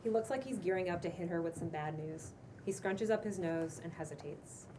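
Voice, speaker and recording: A woman narrates stage directions at a script read in a fairly low voice. She sounds a little way from the microphone, with clear noise in the room. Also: a little fast. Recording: distant mic, noticeable room noise.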